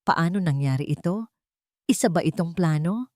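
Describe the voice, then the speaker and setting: A woman narrates a dramatic love story in a low-pitched voice. The sound is dry and close, with a scripted, storybook delivery. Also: animated, normal speed, mellow, no room sound.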